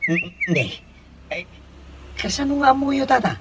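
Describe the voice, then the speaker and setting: A man's voice: very high-pitched, sing-song, a little slow, a crowd in the background, heavily acted. A Filipino man with an Ilocano accent playing a flustered, stammering married security guard in a radio comedy drama. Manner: flustered, hesitant, stammering exclamations.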